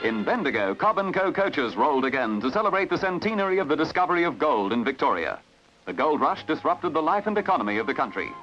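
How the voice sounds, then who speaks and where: A man with an Australian accent narrating a newsreel in a brisk announcer style, on a dull old archival recording with music behind. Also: fairly high pitch. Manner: brisk announcer delivery with lively intonation.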